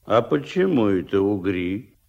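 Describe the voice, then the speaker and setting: A self-important Soviet fishery boss for a radio play. His gravelly voice swings widely in pitch as he blusters and gives orders. He speaks slowly and officiously, like a suspicious bureaucrat. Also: sing-song, a little mellow, lo-fi sound, full character voice. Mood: officious, skeptical. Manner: officious, bureaucratic, repeating catchphrases.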